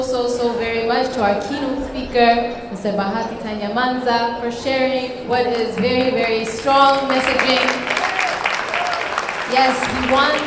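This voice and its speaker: A woman's voice: sits high, very noisy. Effusive woman emcee, far off in an echoey hall. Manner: effusive, thankful. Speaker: event emcee. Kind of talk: gala announcements. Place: banquet hall. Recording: distant mic in echoey hall with crowd. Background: audience murmur.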